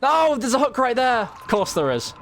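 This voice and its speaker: Animated man commentating over gameplay. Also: very high voice, moderate pace, a little hiss or hum. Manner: reactive, exclamatory, slangy. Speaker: video game streamer, YouTube host. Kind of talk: live gameplay commentary, casual chat.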